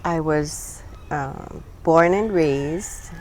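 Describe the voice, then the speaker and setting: An older woman from Hawaii, a retired social worker recalling her life in a relaxed interview, speaking haltingly with many pauses and fillers. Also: low voice, slight background noise. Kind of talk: casual reminiscing conversation.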